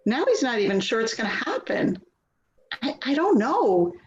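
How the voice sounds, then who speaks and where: A woman's voice: on the high side, big pitch swings, moderate pace, dull audio, noisy background. A woman playing an anxious, hurt grown daughter in a dramatic play reading. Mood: worried.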